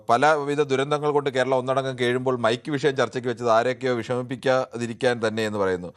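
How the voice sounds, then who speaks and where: Fast-talking male talk show host. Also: medium pitch. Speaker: TV phone-in discussion host. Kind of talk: live commentary, reading out and answering viewer comments.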